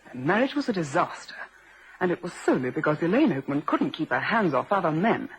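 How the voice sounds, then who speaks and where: An actress playing a prim, frank and defensive secretary in a vintage radio drama, speaking formally and crisply clipped. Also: female voice, sits low, sing-song, fairly quick, full character voice, poor audio. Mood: indignant, cutting.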